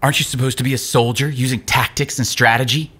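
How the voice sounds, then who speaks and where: A man's voice: mid-range voice, fairly even intonation, fast talker, a bit bright, slightly rough. A man playing a mocking, contemptuous tormentor in an audio drama, accusing and crude. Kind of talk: scripted audio drama acting. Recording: music under the voice.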